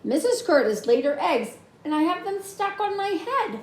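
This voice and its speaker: A woman's voice: extremely high pitch, sing-song, moderate pace, pitched above the performer's own voice. A woman voicing a cheerful, proud father fish in a children's storybook. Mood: content, proud. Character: cheerful, proud male fish fathers guarding their eggs. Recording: roomy echo, faint background noise.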